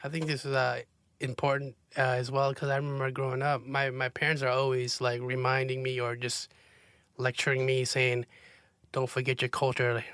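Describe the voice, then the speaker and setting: A man's voice: mid-range voice, completely flat delivery, normal speed, fairly bright tone, smooth, dry recording, studio quality. A man hosting a podcast interview, chatting enthusiastically with frequent fillers. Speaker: podcast host. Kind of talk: casual interview conversation.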